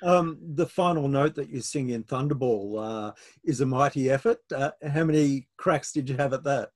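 A man's voice: mid-range voice, moderate pace. Male interviewer on a video call. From Australia. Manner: brief questions with hesitations. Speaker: interviewer, music journalist. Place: home office, indoors.

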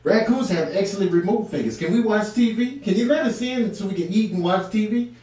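A man's voice: sits high, a little fast, dark tone, natural voice. A man voicing a chatty, nosy raccoon that rambles and asks questions in a streetwise way, in a comic animal voiceover. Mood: nosy, hopeful. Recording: very echoey, distant, faint noise.

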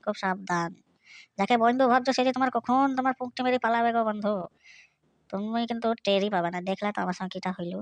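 A woman's voice: medium pitch, fast, dry recording, natural voice. An earnest, bashful young cartoon man, played by a woman. He talks plaintively. The recording is dry and close. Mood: pleading, hurt. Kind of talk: comic cartoon dialogue.

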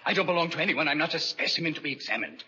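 Doomed young man who sees the future, old radio drama, husky rough voice, swings up and down, muffled vintage recording. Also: fairly high pitch, animated, racing, sharp tone, full character voice. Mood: urgent. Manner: earnest, urgent, dramatic. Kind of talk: 1940s radio drama acting.

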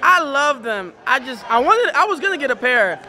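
A man's voice: very high voice, very animated. A young man in his twenties from Colombia, chatting playfully to a handheld camera as a vlogger after a concert. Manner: upbeat, playful banter, quick introductions. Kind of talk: casual vlog chat. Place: church venue after a concert, crowd around. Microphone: camera held at arm's length. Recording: loud crowd and music behind.

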